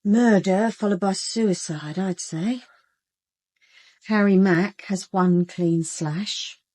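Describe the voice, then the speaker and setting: An actress playing a cool, analytical police detective in a radio crime drama, speaking in a clinical, matter-of-fact way. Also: female voice, mid-range voice, slow, mellow. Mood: clinical, reasoning.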